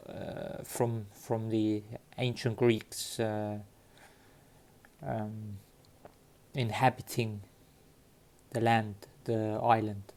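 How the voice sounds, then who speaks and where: A man from Cyprus talking casually to his viewers, halting often with fillers and restarts. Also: on the low side, a little mellow. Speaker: vlogger sharing DNA results. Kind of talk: casual talk to camera. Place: London.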